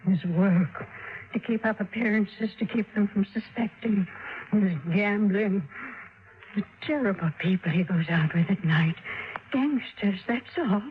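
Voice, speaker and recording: An actress playing an anguished woman confessing her fears in 1950s radio drama, urgent and halting. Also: medium pitch, a little hiss or hum, natural voice. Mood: urgent, fearful. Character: anguished married woman confessing fear of a wicked man. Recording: tinny, band-limited old radio recording.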